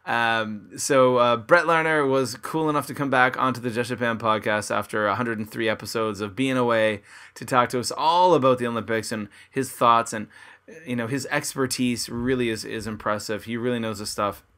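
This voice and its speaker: A man's voice: mid-range voice, steady tone, normal speed, a bit bright, clear, resonant tone. A man from Canada hosting a podcast, chatting casually and upbeat with his listeners and his guest. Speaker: podcast host. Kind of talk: casual podcast intro and interview. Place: his home in Kobe, Japan.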